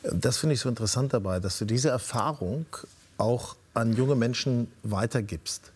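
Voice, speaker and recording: A man's voice: mid-range voice, normal speed, slightly rough. A man hosting a talk show, interviewing a guest informally and introducing a filmed report.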